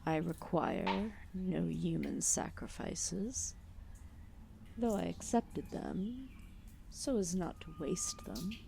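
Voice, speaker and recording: A woman playing a calm, solemn, ancient serpent deity in an ASMR roleplay, speaking softly and formally with deliberate pauses. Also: fairly low pitch, a little hiss or hum, exaggerated voice, rough recording. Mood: solemn, detached. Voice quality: soft, breathy, often dropping into whispers. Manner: slow, deliberate, formal phrasing with long pauses.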